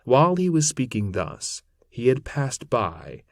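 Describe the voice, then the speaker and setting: A man narrating an old epic poem aloud in a solemn, measured, theatrical manner with archaic phrasing. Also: mid-range voice, very animated, no room sound, clean studio sound. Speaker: narrator reading poetry aloud. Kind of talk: dramatic recitation of an epic poem in archaic English.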